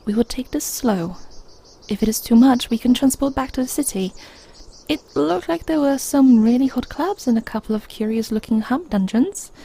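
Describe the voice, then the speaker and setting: A woman playing a bubbly, supportive starship crewmate in an audio drama, husky and reassuring with a playful streak. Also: a little high, expressive, moderate pace, mellow, heavily acted. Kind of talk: audio drama acting, casual chat.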